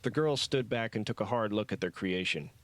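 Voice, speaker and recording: A man's voice: lowish voice, a little fast, no echo. A man reading scripted fiction as an audiobook narrator.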